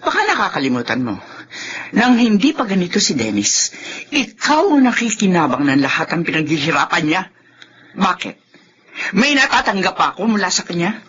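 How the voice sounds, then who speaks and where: A woman's voice: on the low side, sing-song, normal speed, pauses often, a bit bright, breathy edge, exaggerated voice. An actress playing a bitter, accusing Filipino mother-in-law in a radio drama, scolding and sarcastic. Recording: dull, noisy lo-fi drama recording.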